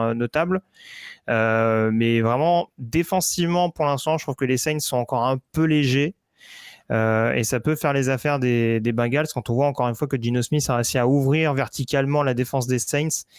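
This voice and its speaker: A man's voice: mid-range voice, clear, resonant tone, no echo, clean studio sound. A man giving casual football predictions on a podcast, hesitant, with many fillers and restarts. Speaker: football analyst.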